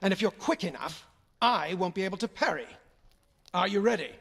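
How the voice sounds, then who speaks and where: An actor playing a royal uncle and sword instructor in an audio game, firm, formal and encouraging. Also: male voice, high voice, lively intonation, a little fast, gravelly, fairly bright tone, slightly rough, heavily acted. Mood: instructive, encouraging.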